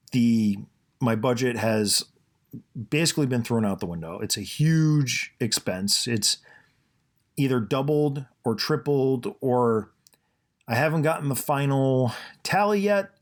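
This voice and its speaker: A man hosting his own podcast, talking casually and rambling, with a soothing voice and plenty of fillers and restarts. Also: mid-range voice. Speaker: podcast host. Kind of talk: casual solo podcast monologue.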